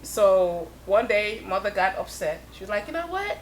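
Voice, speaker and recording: A woman who is the guest in an interview, chatting casually about her life in music, laughing often and sending shout-outs to friends. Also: mid-range voice, very animated, moderate pace, a loud crowd, poor audio. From Europe.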